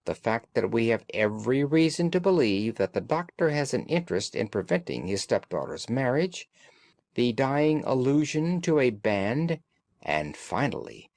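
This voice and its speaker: A man voicing a shrewd gentleman detective in an audiobook, calm, analytical and authoritative, with formal, deliberate phrasing. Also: mid-range voice, no room sound.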